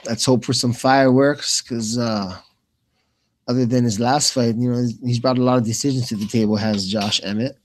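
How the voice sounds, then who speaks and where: A man's voice: mid-range voice, fairly even intonation, moderate pace, slight background noise. Slangy man doing casual fight commentary. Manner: informal, slangy, calls people bro and man. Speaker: commentator, former pro fighter.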